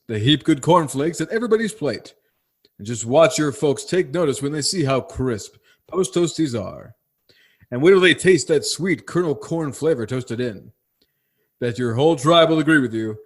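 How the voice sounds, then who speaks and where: A man reading a folksy, salesmanlike commercial in the style of a 1950s radio announcer. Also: on the high side.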